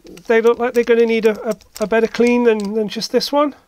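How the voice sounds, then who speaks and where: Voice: mid-range voice, level tone, a little fast, darkish tone, clear, resonant tone. A person giving casual hands-on repair commentary in a hesitant way, as if thinking aloud. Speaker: repair video maker. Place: indoor electronics workshop.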